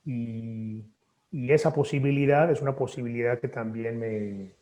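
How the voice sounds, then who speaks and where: A man, a poet and native Spanish speaker, speaking thoughtfully at a book presentation with measured hesitations. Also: medium pitch, fairly even intonation, dark tone, dull audio. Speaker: poet and author. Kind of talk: reflective talk at a book presentation.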